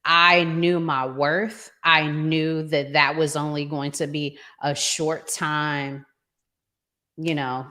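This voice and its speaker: Relationship podcast host: American woman, middle-aged, deep for a woman, unhurried pacing, deliberate and opinionated delivery. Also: level tone, sharp tone. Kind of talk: conversational relationship talk show.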